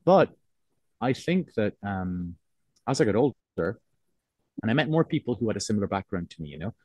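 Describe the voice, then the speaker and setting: A man in his forties from Cork with a soft, neutralised Irish accent close to English, talking reflectively and hesitantly in an interview, often circling back to rephrase. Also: fairly low pitch, sing-song, moderate pace, slightly rough, dry recording. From Cork, Ireland. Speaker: interviewed writer.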